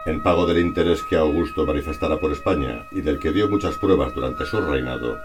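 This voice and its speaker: Very deep-voiced man from narrating formally. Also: huge pitch swings, music in the background. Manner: formal, measured, bookish delivery. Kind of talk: scripted historical narration read aloud.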